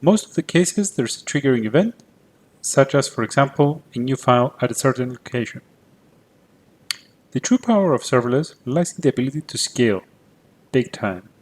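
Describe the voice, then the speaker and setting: A man from Cyprus, a native Greek speaker with a Greek Cypriot accent, giving a prepared conference talk in a clear, methodical way. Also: medium pitch, expressive, normal speed, long pauses, a little mellow. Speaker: conference presenter.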